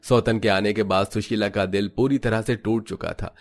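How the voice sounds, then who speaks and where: A man narrates a cartoon family story in a low voice. The scripted delivery is melodramatic, with music playing behind. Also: steady tone, moderate pace.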